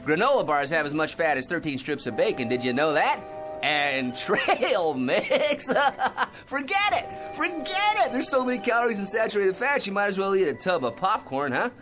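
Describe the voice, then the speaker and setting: A middle-aged windbag at the office, swoopy highish voice, pushy, music behind him, radio drama. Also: male voice, very animated, normal speed, a bit bright. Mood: gleeful scoffing. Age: 40s. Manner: long-winded, pushy, chuckles and tags questions with "huh?". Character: tiresome, argumentative middle-aged office bore, big mouth.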